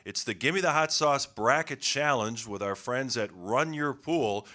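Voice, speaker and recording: A man's voice: medium pitch, unhurried, bright, forceful tone. A man co-hosting a sports podcast, giving a sponsor read with a polished, announcer-like delivery. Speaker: podcast co-host.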